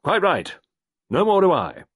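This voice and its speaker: A man voicing a gruff older British colonel who speaks in short, clipped phrases. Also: sits high, huge pitch swings, unhurried, no echo, studio quality, voice pushed up, heavily acted.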